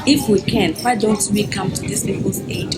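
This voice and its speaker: Sincere, measured woman in a documentary interview, mid pitch, heavy music underneath. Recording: documentary clip over loud music.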